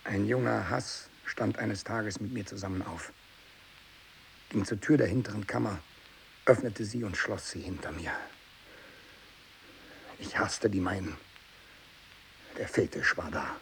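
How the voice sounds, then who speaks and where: A tormented zealot in a 1960s radio play, his voice gravelly and full of creak. He is a captive missionary turned hateful fanatic, intense in every phrase, moving between muttering and declamation. A faint hiss lies over the recording. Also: on the low side, unhurried, pauses often. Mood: bitter, hushed. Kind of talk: 1960s German radio drama monologue. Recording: hissy 1960s radio production.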